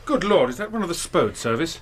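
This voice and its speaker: An actor playing a distraught young English gentleman with an upper-class British accent, speaking in an agitated, well-bred way in a radio drama. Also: on the high side, sing-song, brisk. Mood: indignant, curt. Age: young adult. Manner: agitated, hesitant, well-spoken.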